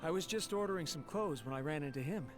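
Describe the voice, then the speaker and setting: A man's voice: fairly high pitch, lively intonation, fast talker, natural voice. An actor playing a friendly, polite and eager young male attendant in an anime video game. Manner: polite, chatty, earnest. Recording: music behind the voice.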